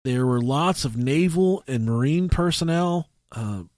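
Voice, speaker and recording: A man's voice: mid-range voice, expressive, darkish tone, no room sound. Guy cohosting a podcast, swears a lot, stumbles along telling stories casually, really dry sound. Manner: crude, profane, halting. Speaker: podcast co-host. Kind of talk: casual comic storytelling.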